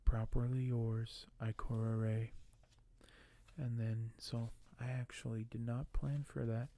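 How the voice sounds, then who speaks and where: A man speaking softly and gently for an ASMR video, sometimes close to a whisper, with a halting delivery as host. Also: mid-range voice, flat delivery. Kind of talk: soft-spoken ASMR, partly whispered; reading aloud and commenting on collectibles.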